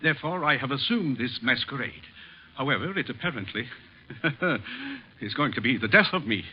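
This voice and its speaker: A man's voice: medium pitch, lively intonation, moderate pace, slightly rough. An actor playing a wealthy, fussy, frightened man in a 1950s radio drama, stiff and impatient. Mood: grave, explaining. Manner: stiff, haughty, clipped, impatient. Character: wealthy, fussy, frightened man fearing his own murder. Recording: dull old radio broadcast.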